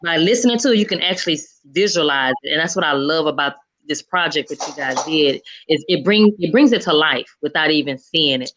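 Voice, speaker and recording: Lower-voiced woman hosting a casual interview. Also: animated, brisk, fairly bright tone, poor audio. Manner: encouraging, affirming. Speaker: interviewer, show host.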